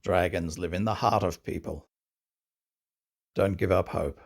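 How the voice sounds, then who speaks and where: A man acting a weary, grave knight in command, speaking deliberately with a gravelly voice in an audio drama. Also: sits low, steady tone, full character voice. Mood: grave, solemn. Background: music.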